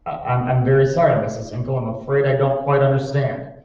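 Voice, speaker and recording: A man's voice: mid-range voice, fairly even intonation, a little fast, warm tone, natural voice. A man playing a deferential, puzzled household servant in an old-time radio horror play, formal and hesitant. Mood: polite bewilderment. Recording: muffled, hall-like echo, far from microphone.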